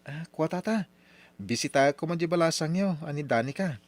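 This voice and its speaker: High-voiced, animated young Ilocano suitor male actor. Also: a little fast, natural voice. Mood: polite, hopeful. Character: eager young male suitor visiting his sweetheart's father. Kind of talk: old radio drama acting.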